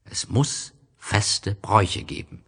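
A man voicing a gentle, wistful male fox in a storybook, speaking earnestly and patiently like a wise teacher. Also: fairly low pitch, slow, gravelly, slightly rough, exaggerated voice. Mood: earnest, tender.

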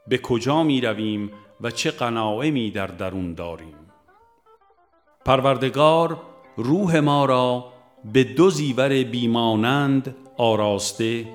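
Mid-pitched man narrating over faint music. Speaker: audiobook narrator. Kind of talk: scripted spiritual book narration.

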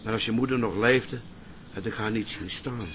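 Actor as emotional elderly father, bright forceful voice, tender yet easily riled, radio play, faint noise. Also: fairly low pitch, moderate pace, lightly acted. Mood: tender, wistful. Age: older. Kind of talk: Dutch radio play acting.

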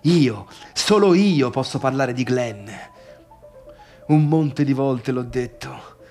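An actor playing a bitter, needy, boastful failed pianist in a halting theatrical radio monologue that swings between murmurs and outbursts. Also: male voice, mid-range voice, a little mellow, faint music behind. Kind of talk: radio theatre monologue, addressing imagined listeners.

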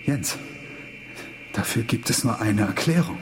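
A man's voice: lowish voice, lively intonation, a little slow. A man playing an eager, ambitious experimental physicist in a radio drama, talking in an emphatic, technical way. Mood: insistent, analytical. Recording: clear background hum.

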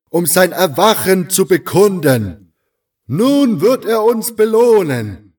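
Exalted cult guru, high loud proclamation. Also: male voice, slightly rough, exaggerated voice. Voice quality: smoky undertone, strained loud voice. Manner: solemn, slow, drawn-out proclamation. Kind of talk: fan radio play acting. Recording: distant voice, as across a square.

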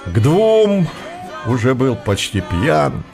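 A man narrating a literary radio drama with measured, expressive storytelling phrasing. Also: mid-range voice, vocal fry, music in the background, crowd noise.

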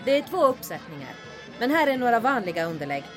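A woman's voice: a little high, big pitch swings. An actress playing a shrewd, sardonic female bar owner in a comedic wartime audio drama, dry and teasing with a bossy streak. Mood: conspiratorial. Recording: music and crowd chatter behind voice. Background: bar crowd and music.

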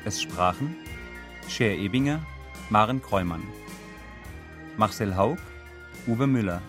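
A man reading the closing credits of a radio drama as an announcer, with deliberate, evenly spaced delivery. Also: on the low side, lively intonation, over music. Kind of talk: scripted broadcast credits announcement.

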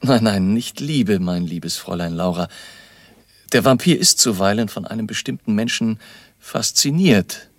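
A learned, authoritative baron in a radio drama. His voice is low, husky and dry, with a formal, measured, lecturing delivery. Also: lively intonation, no echo. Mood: lecturing, solemn. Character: grave, authoritative baron, learned vampire hunter.

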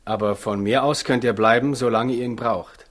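An actor in a 1960s German radio play, playing a good-natured, modest stable tenant with a husky, matter-of-fact delivery. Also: male voice, mid-range voice, steady tone, normal speed, lightly acted. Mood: sheepish, friendly.

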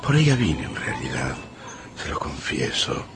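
An actor playing an aging, lovesick general in a radio drama, anxious and pleading with a halting, dramatic delivery. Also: male voice, rumbling low, sing-song, noisy background, full character voice. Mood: earnest, confessing. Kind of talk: Spanish-language radio drama acting.

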